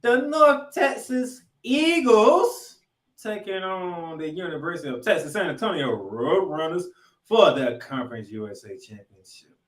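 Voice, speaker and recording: A man hosting a sports podcast, giving excitable football commentary in an announcer-like style with drawn-out, emphatic phrases. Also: sits high. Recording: echoey room, music underneath.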